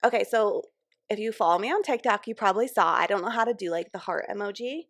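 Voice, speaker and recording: A young woman co-hosting a podcast, a little raspy today, chatting playfully and trailing off mid-thought. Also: mid-range voice, fast, a bit bright, no echo, clean studio sound. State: a little raspy from concert screaming. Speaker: podcast co-host. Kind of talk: casual chat.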